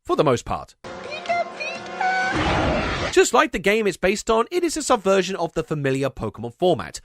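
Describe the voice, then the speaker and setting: A man's voice: high voice, sing-song, moderate pace, over loud music. A man hosting a video essay, reading scripted commentary with wry, sarcastic asides.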